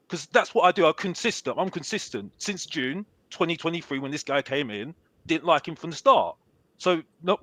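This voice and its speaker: A man, a fan pundit on a podcast, loud and repetitive, insisting on his point. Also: fairly high pitch, dry recording. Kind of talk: heated fan podcast debate.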